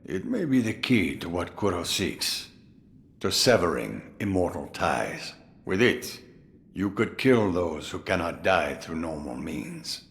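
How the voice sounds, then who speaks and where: An actor playing a crafty, jovial old samurai sword master in a video game, musing theatrically with drawn-out amusement. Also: male voice, deep voice, very animated, creaky, fairly bright tone, slight background noise, exaggerated voice. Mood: grave, advisory. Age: older. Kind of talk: dramatic video game voice acting.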